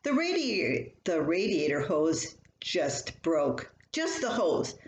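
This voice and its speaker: A woman's voice: low voice, very animated, slow pace, heavily acted. A woman voicing a giggly, upbeat husband telling a story of a breakdown in an amused, emphatic way. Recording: roomy echo, faint background noise.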